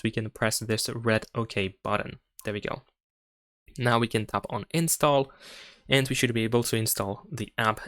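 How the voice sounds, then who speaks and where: Clear-voiced man giving a step-by-step tutorial. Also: mid-range voice, fairly bright tone, dry recording, studio quality. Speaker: tech tutorial presenter. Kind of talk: step-by-step instructional narration.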